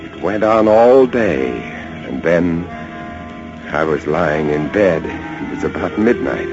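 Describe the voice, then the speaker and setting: An actor playing a lighthouse keeper, narrating a horror tale with dramatic, breathless intensity and hesitant stammers in old-time radio style. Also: male voice, sits low, animated, takes it slowly. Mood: hushed dread. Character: male lighthouse keeper recounting a terrifying rat siege. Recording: dull old radio recording under loud music.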